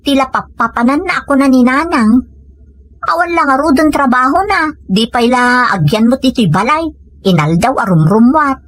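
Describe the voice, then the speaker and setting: A woman's voice: high voice, expressive, normal speed, no echo. A Filipino woman acting a hardworking village family woman in an Ilocano audio comedy drama. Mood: earnest, concerned.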